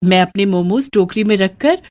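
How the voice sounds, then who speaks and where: A woman's voice: medium pitch, normal speed, a little mellow, natural voice. A woman voicing a poor, hardworking young woman who sells momos, speaking earnestly and politely in a cartoon story.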